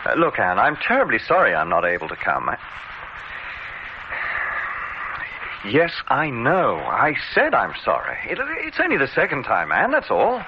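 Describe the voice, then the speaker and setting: A genteel, curious younger gentleman in an old radio drama. His pitch swoops widely as he hesitates and wonders aloud. The recording is lo-fi and drowned in loud hiss. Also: huge pitch swings, a little fast, few pauses. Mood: flustered. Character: polite, curious younger male guest.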